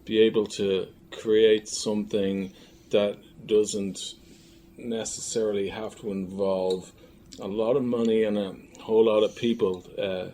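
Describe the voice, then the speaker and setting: A man talking as an interview guest in a casual, reflective style, pausing thoughtfully between phrases. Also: lowish voice, flat delivery, dark tone. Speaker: filmmaker and audio drama creator, interview guest. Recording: faint background noise, room ambience.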